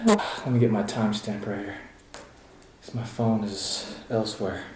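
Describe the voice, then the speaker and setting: A man's voice: lowish voice, steady tone, measured pace, breathy edge. A man in his twenties chatting casually in a rambling, loose vlog style, full of fillers and restarts. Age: 20s. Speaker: vlogger training for a triathlon. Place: in a car while driving home, later at home. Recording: car and road noise behind the voice.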